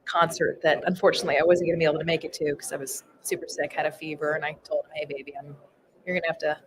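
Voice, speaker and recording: A woman in her thirties, a firefighter, telling a candid personal story in a casual podcast chat. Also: low-pitched. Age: 30s. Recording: noisy live event floor.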